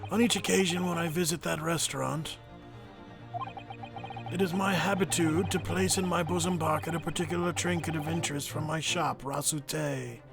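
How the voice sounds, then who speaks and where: A man's voice: a little high, steady tone, moderate pace, creaky, over music. Man playing an eccentric, mannered old antique dealer. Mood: aloof, precise. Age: older. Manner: archaic, inverted, mannered phrasing. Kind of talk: video game fan voice acting.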